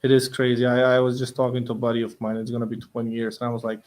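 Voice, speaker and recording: A man's voice: medium pitch, completely flat delivery, rapid-fire, darkish tone. A man, hosting a chatty, informal interview. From Bosnia. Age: 30s. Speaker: interview host. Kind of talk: casual conversational interview.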